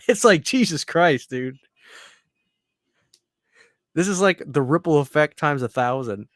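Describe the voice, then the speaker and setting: Man, 30s, podcast co-host. Excitable, profane, muttering asides. Dry, close sound. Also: unhurried, no room sound. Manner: excitable, swears a lot, drops to low mutters. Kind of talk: casual chat.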